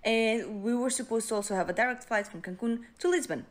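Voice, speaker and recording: A woman's voice: on the high side, fairly quick. A woman from Portugal with a Portuguese accent, casually telling a story in English to camera.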